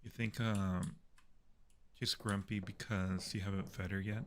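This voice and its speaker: A man's voice: on the low side, little pitch movement. A man hosting a horror game livestream, chatting casually and muttering his way through tasks. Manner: unhurried, muttering to himself and reading out tasks. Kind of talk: casual gaming commentary. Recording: clear background noise.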